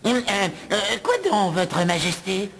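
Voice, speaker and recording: Very high-pitched, flustered royal official. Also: male voice, measured pace, a bit bright, slightly rough, heavily acted. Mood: flustered, deferential. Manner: hesitant, officious. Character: fussy, flustered male royal official, deferential. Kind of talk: French cartoon dubbing.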